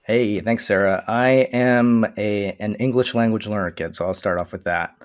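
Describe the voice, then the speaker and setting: A man whose first language is Vietnamese, a guest on a casual podcast interview, explaining his work in an earnest, steady way. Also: on the low side, moderate pace, smooth, no room sound, clean studio sound. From Michigan, USA. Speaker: guest, STEM consultant.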